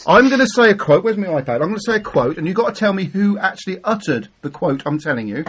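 A man's voice: high-pitched, big pitch swings, fast, fairly bright tone, slight background noise. A man hosting a casual quiz among friends, joking and stumbling over his words as he rambles. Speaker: quiz host.

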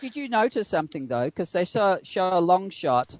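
A man's voice: sits high, very animated, moderate pace, no echo, lo-fi sound. A man in a casual chat, chatty and easily amused.

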